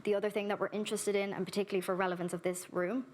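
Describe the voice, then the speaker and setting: A woman's voice: mid-range voice, little pitch movement, a little hiss or hum. A woman speaking earnestly at a conference, giving a keynote and then answering audience questions on a handheld microphone. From Ireland. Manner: earnest, warmly direct, brisk with lists. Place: conference hall.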